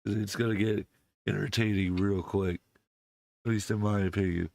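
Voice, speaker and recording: A man hosting his channel while sick, his voice deepened by illness, talking casually to viewers with many fillers and restarts into a desk microphone that clips when he is too close. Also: steady tone, normal speed, gravelly, a little mellow, no echo. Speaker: channel host.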